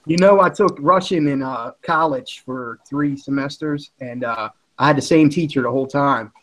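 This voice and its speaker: A man's voice: mid-range voice, moderate pace, fairly bright tone, poor audio. A man with a Philadelphia accent, hosting a casual group chat and rambling along as he talks. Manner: rambling, chatty, self-correcting. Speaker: livestream host.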